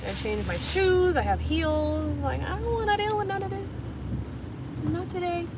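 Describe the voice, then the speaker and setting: A woman's voice: extremely high pitch, lively intonation, normal speed. A young woman from England chatting anxiously while driving on a rainy freeway, full of fillers. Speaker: vlogger. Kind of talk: casual vlog while driving. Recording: loud road and rain noise. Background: road and rain noise.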